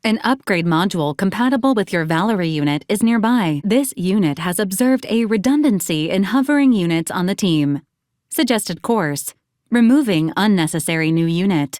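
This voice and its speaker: A woman voicing an officious, literal-minded robot companion in a video game, speaking in clipped, formal, deadpan announcements. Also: medium pitch, moderate pace, no room sound, studio quality, natural voice. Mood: clinical, officious. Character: female-voiced hovering robot companion, clinical, officious, dryly literal. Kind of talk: scripted video game character lines.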